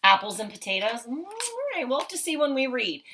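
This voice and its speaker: A woman's voice: high-pitched, sing-song, a little fast, bright, forceful tone, a little hiss or hum. A woman teacher leading a read-aloud picture walk for children, explaining simply and asking frequent, encouraging questions.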